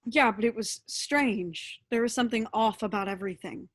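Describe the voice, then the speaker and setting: A woman's voice: on the high side, normal speed, natural voice. A woman playing an earnest, worried friend who seeks help, in a staged play reading. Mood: puzzled.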